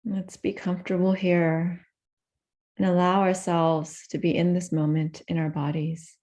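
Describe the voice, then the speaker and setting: A woman leading a guided meditation in a gentle, unhurried manner with soft pauses between phrases. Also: on the low side, little pitch movement.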